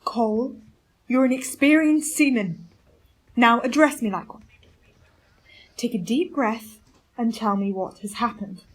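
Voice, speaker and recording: A woman's voice: fairly high pitch, a little slow, long pauses, breathy edge, low-quality recording. A woman playing a stern ship captain. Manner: stern, commanding. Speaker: captain and diarist narrator. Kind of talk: radio play acting.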